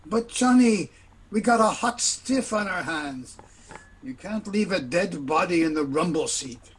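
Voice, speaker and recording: Radio drama sidekick male actor: weary, timid crook of a doctor, hesitant, slightly high-pitched voice. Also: animated, a little slow, husky, heavily acted. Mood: worried. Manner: hesitant, stammering, pleading. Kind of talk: old-time radio play acting.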